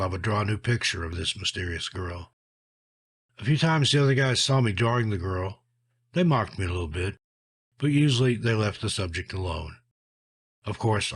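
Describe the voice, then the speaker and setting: A man with a fairly deep, rough-edged husky voice reads frightening tales as a narrator. His delivery is scripted, measured and dramatic, with phrases that fall away at their close. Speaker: horror story narrator.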